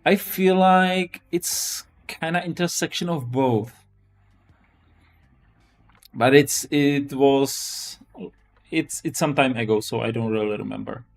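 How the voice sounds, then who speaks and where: A man with a Czech accent talks casually on a live stream at an unhurried pace, with faint music behind him. He speaks haltingly, doubling back on words and phrases as he goes. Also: mid-range voice, vocal fry. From Czech Republic. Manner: halting, repeats and restarts phrases. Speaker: live-stream host, web developer.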